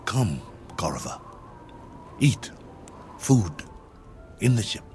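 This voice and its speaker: An actor with an American accent playing a stranded, weary, wry colonel in a 1960s television drama, explaining things in simple, halting phrases. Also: male voice, fairly low pitch, sing-song, a little mellow. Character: stranded one-armed colonel, weary, wry, patient then exasperated spaceman. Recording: old TV soundtrack with music underneath.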